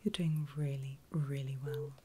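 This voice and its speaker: A woman whispering in a breathy, soothing and reassuring way, as a caregiver in an ASMR medical roleplay. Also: very deep voice, fairly even intonation, noisy background. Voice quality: breathy, mostly unvoiced whisper. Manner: soothing, reassuring, slow and deliberate. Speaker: ASMR performer playing a surgical nurse.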